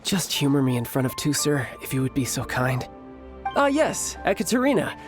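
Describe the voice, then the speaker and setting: An actor playing a suave, cocky young fighter and doting older brother in a video game, smooth and playfully formal. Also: male voice, mid-range voice, very animated, moderate pace, close to an everyday voice. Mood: suave, discreet. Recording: music playing behind the voice.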